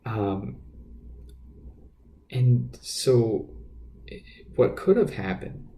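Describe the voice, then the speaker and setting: A man with a naturally quiet voice telling a personal story off the cuff as a podcast host, hesitant and often repeating his words. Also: medium pitch, big pitch swings, very slow, a little hiss or hum. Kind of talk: unscripted personal storytelling monologue.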